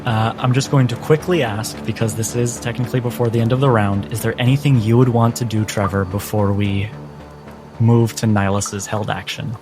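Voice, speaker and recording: A man running a tabletop game as game master, switching between rules talk and deliberate, dramatic narration. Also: on the low side, steady tone. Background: background music.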